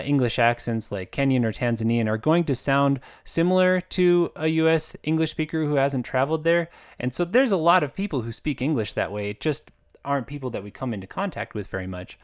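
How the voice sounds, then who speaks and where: A man from the United States, an author hosting his own podcast, talking in a chatty, reflective way with fillers and soft laughs. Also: mid-range voice, clear, resonant tone. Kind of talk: casual podcast monologue.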